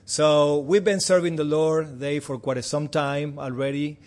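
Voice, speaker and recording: A man's voice: fairly high pitch, little pitch movement, a little slow, darkish tone. A man in his forties from Argentina with an Argentine Spanish accent, a native Spanish speaker giving a friendly, chatty missions report to a church with light self-deprecating humor.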